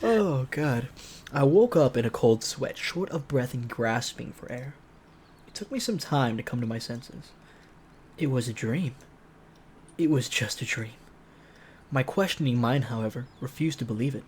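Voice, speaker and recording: A man streams a visual novel, reading it aloud with dramatic narration. He breaks off into playful, casual asides. His voice has a middling pitch, with noise audible behind it. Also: lively intonation, normal speed, a little mellow. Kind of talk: dramatic read-aloud narration mixed with casual joking commentary.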